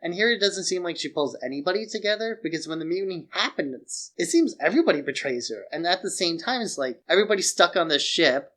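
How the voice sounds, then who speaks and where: A man's voice: fairly high pitch, a bit bright. A man co-hosting a podcast in a casual film discussion, rambling and opinionated, often saying "like". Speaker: podcast co-host.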